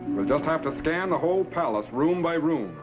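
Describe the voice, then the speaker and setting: A man acting a commanding time-travelling captain in an old sci-fi serial, giving clipped, steady orders on a dated recording. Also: on the high side, moderate pace, close to an everyday voice. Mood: steady, urgent. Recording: dull old broadcast recording with loud music.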